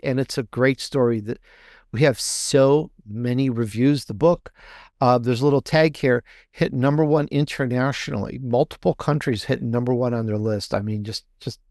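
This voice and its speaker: A man's voice: medium pitch, a little mellow, no echo. A man with a mid-range voice talks as a guest author in an interview. He explains in an enthusiastic, meandering way, conversational and promotional. The recording is close and dry. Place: video interview with book backdrop.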